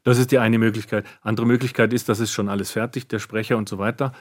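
A man's voice: lowish voice, fairly even intonation, quick pace, no room sound. Guy who does sound effects, chatting about his work, kinda hesitant, dry close studio sound. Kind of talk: explaining craft in conversation. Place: radio drama studio.

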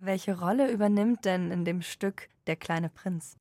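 A woman's voice: lowish voice, a little slow, mellow. A woman interviewer putting composed, formally worded questions to her guests.

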